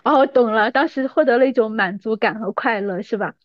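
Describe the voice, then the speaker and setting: A woman's voice: on the high side, brisk, dry recording, muffled recording. A woman in her thirties talking as a podcast guest in a casual, reminiscing conversation. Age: 30s.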